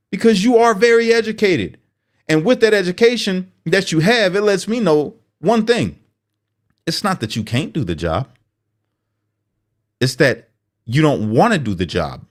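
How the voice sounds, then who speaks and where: A man hosting political commentary, speaking bluntly and sarcastically as if straight to the person he criticizes. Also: fairly high pitch. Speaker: commentary host.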